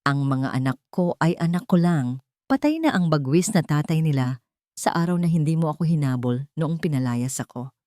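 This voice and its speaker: A woman reads a hurt young mother turned executive in an audio drama. The voice is low and flat, with no warmth let through, recorded close and dry. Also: normal speed, no room sound. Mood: cold. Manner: flat and emotionless. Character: cold, wounded young mother, now a CEO.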